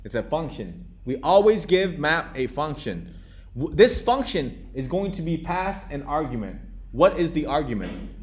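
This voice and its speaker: A man's voice: a little high, sing-song, a little slow. A young man teaching a live classroom coding lesson, talking casually with lots of restarts and checks like "okay?" and "right?". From United States. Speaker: coding instructor.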